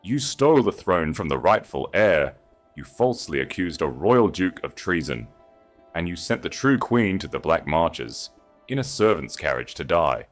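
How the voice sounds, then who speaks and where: A man's voice: low voice, sing-song, moderate pace, soft background music. A man voicing an imposing storybook duke who speaks with cold, accusatory authority. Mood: cold, accusing. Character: imposing exiled duke, male, coldly authoritative.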